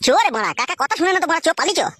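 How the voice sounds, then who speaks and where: A woman's voice: very high voice, lively intonation, racing, a bit bright, no room sound, studio quality. A woman voicing a bossy, impatient young village lad in a comic cartoon, nagging crudely.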